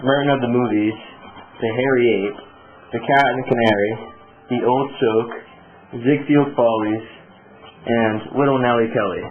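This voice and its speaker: A man's voice: medium pitch, fairly even intonation, moderate pace, long pauses. Through a thin, phone-like radio sound, a man hosts a show. He reads scripted segments in a mid-range voice, presenting news and culture as a year in review.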